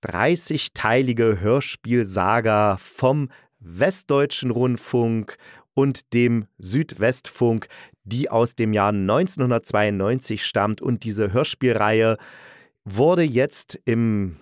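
A man's voice: medium pitch, slow, no echo. Chatty man podcasting in a dry room. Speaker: podcast host and audio drama critic. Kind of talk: solo podcast presentation, conversational.

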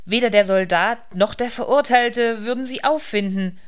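A woman's voice: fairly high pitch, moderate pace, clean tone. A woman narrating a radio play from a literary script.

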